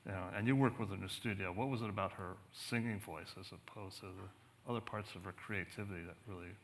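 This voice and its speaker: A man's voice: sits low, steady tone, fast talker, a little hiss or hum. A man speaking as an author at a book talk, conversational and thoughtful, often searching for his words. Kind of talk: conversational panel discussion. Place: museum theater, on stage.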